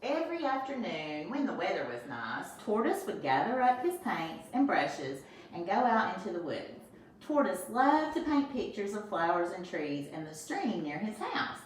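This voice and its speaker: An older woman, a first grade teacher, reading a storybook aloud to children with expressive asides, filmed at home on a phone. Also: mid-range voice, moderate pace, a little hiss or hum. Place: home, with a small grandchild nearby. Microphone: phone camera held by her son. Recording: echoey room, voice from a distance.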